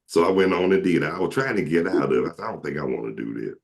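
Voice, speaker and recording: A man with a deep, carrying voice telling casual, joking stories to friends as a talk show host, slangy and quoting people as he goes. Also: rapid-fire, vocal fry. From southern United States. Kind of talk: casual comic storytelling with friends.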